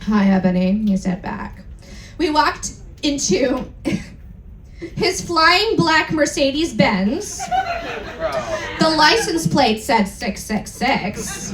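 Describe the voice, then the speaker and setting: A woman giving a comedic dramatic reading of a story to a live audience in a theatrical, amused manner. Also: high-pitched. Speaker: performer reading fan fiction aloud. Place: indoor live event venue. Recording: echoey hall, distant mic, background noise.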